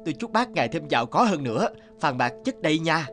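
A poor young villager in a fairy-tale cartoon. He sounds naive, humble and plaintive, with an animated, slightly high delivery. Music is clearly heard behind him. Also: fast. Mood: hopeful.

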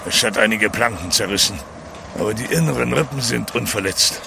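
An actor playing a gruff, level-headed ship's captain in a German audio drama, firm and curt. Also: male voice, fairly low pitch, fairly quick, noisy background. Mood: calm, firm.

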